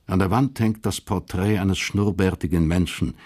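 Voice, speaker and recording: A man narrating a radio crime drama in a measured, literary storytelling manner. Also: low-pitched, a little mellow, breathy edge.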